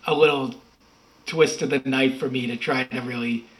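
Chatty man with a bright voice. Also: mid-range voice, steady tone, normal speed. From United States. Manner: rambling, self-correcting, chatty. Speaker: podcast guest. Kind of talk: casual interview talk.

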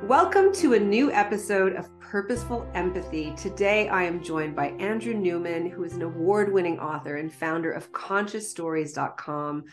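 A middle-aged woman hosts an interview show with real enthusiasm, heaping praise on her guest. Her voice sits low. A faint bed of music plays underneath her. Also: animated, normal speed, few pauses. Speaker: podcast host.

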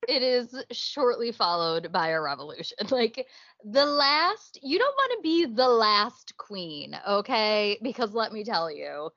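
A woman's voice: mid-range voice, sing-song, measured pace, fairly bright tone, no echo, dull audio. A young woman co-hosting a podcast in casual chat, chatty and laughing often. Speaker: podcast co-host.

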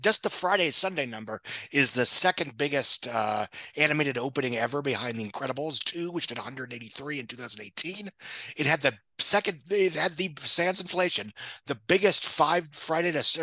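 A man's voice: medium pitch, bright, forceful tone, no echo, poor audio. A man speaking as a guest expert, giving casual, fact-heavy commentary precise with figures. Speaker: guest box office expert.

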